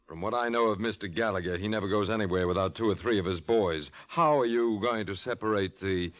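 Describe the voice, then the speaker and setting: An actor playing a wary, dry, well-spoken crook in an old radio crime drama. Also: male voice, lowish voice, fairly quick, fairly bright tone, lightly acted. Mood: skeptical, wary.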